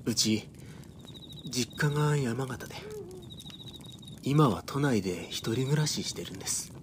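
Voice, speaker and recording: A man's voice: medium pitch, animated, unhurried, noisy background, natural voice. An actor playing a timid, depressed young man in an audio drama, hesitant and polite. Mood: downcast.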